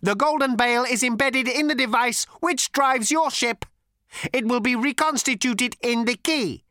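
A narrator putting on an ancient rasping robot, pitched very high. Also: no room sound, clean studio sound, lightly acted. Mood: cold, implacable. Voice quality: cultured rasp. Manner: flat, deliberate, menacingly literal. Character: ancient, cold, lifeless killer robot.

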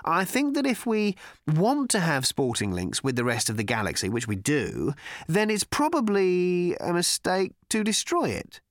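A man's voice: mid-range voice, no room sound, studio quality, voice pushed up. A man reading aloud, voicing a thin, anxious young male cricketer who speaks hesitantly and trails off.

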